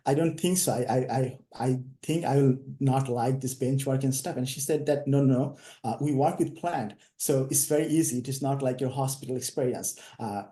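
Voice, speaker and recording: A man's voice: medium pitch, dark tone, roomy sound. Man from Bangladesh giving a career talk. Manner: hesitant, many fillers. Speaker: invited speaker. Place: online video call. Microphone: video call.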